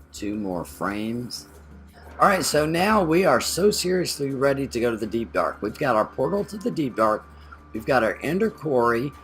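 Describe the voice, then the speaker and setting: A man's voice: on the low side, a bit bright. A man co-hosting a gameplay video, thinking aloud as he plays and correcting himself mid-sentence. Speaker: gameplay channel co-host. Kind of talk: casual gameplay commentary.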